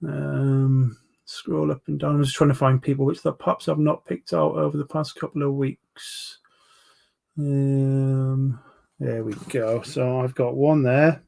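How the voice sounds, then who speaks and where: A man's voice: mid-range voice, little pitch movement, unhurried, creaky, mellow. A man in his forties with a London accent, hosting a casual live stream, chatting and reading out viewer comments with lots of ums. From England. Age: 40s. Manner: chatty, rambling, full of ums, banters with viewers. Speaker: livestream host reviewing vape juices.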